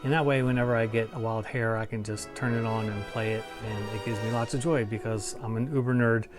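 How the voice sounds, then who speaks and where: A man's voice: medium pitch, steady tone, a little fast. A man hosting a collectibles review, chatting informally to viewers as an enthusiastic fan. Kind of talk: casual chat to viewers. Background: music.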